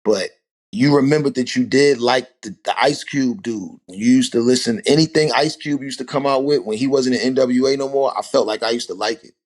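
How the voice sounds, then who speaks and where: Forties male rapper guest, mid-pitched, loose and animated storyteller. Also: fairly quick. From Harlem, New York. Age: 40s. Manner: animated storytelling, rhetorical asides. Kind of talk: casual podcast conversation.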